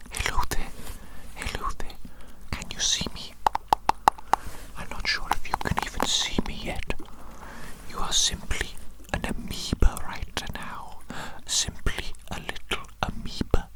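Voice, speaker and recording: A performer voicing an evil doctor who dotes on their creations, in a slow, breathy ASMR roleplay whisper with lingering, repeated phrases. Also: close to an everyday voice, rough recording. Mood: doting, gloating. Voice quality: breathy unvoiced whisper throughout. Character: evil mad scientist doctor, doting and sinister creator of monsters.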